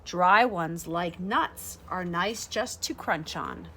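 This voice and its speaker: A woman in her thirties reading a rhyming children's picture book aloud in a deliberate, expressive way, pausing to explain. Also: on the low side, slight background noise. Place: small sunroom with large windows, indoors.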